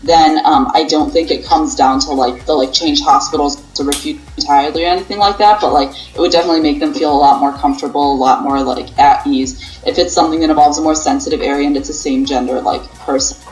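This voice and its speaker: A woman's voice: sits low, steady tone, fast talker, hardly any pauses, darkish tone. A young woman in her twenties, a pharmacy student, answering interview questions casually and rambling with many fillers. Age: 20s. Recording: roomy echo, faint music.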